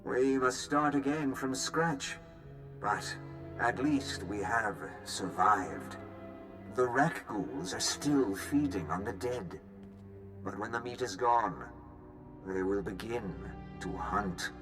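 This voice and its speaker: Solemn outcast leader game log, slow serious delivery, loud music behind him. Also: male voice, medium pitch. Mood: grave. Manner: grave, measured. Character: solemn male outcast leader recording a journal. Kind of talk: game audio journal.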